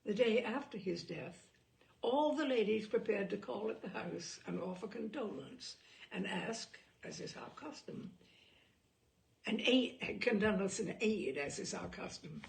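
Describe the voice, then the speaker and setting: A woman reads a literary story aloud in a voice with plenty of gravel and creak. Her pitch sits in the middle range for a woman. The phrasing is measured and dramatic, shaped like an expressive audiobook narration. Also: slight background noise.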